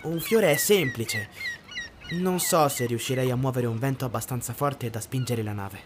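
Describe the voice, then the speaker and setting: A man playing a shy, unsure young boy with magic in a fantasy audio drama, speaking haltingly. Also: mid-range voice, huge pitch swings, slight background noise. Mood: timid, doubtful. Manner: hesitant, halting.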